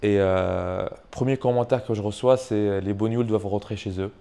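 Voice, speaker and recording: A man's voice: fairly low pitch, fairly even intonation, a little fast, gravelly, warm tone, clear, resonant tone. A young man with a foreign accent, speaking French as a second language, chatting in an interview with many fillers and restarts. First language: not French. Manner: hesitant, many fillers and restarts, says "tu vois" often. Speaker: YouTuber and online business coach. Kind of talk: casual interview conversation.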